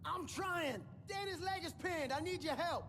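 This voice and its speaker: A man's voice: very high-pitched, unhurried, pushed and bright, raised voice, slight background noise, full character voice. A man playing an exasperated, foul-mouthed heist crewman under fire in an action game. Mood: exasperated, urgent. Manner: urgent, skeptical, profane. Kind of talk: video game action acting. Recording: music behind the voice.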